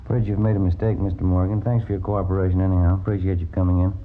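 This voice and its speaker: 남자가 연기하는 말이 빠른 공손한 형사, 먹먹한 옛 라디오 녹음. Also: male voice, low voice, level tone, racing, close to an everyday voice. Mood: polite, doubtful. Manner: courteous, hesitant, questioning. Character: polite, cautious male police detective, the junior partner. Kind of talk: old-time radio crime drama acting. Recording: dull, faintly noisy vintage radio recording.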